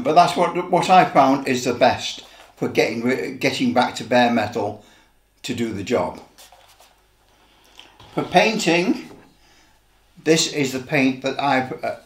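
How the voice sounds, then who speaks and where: Older man, echoey room. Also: mid-range voice. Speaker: presenter of a hobby how-to video. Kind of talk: informal demonstration. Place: indoor office room. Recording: roomy echo, background noise and clicks.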